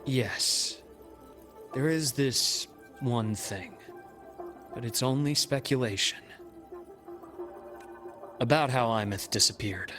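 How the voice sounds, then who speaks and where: An actor playing a calm, steadfast male companion in a video game, speaking in a measured, earnest and reassuring way. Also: mid-range voice, close to an everyday voice. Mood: grave. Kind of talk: video game cutscene voice acting. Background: background music.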